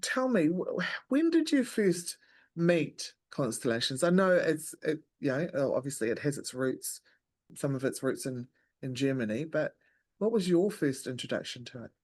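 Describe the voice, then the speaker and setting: A woman's voice: low-pitched, very animated, moderate pace, darkish tone. A woman, hosting a podcast in a casual, chatty interview style. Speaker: podcast host.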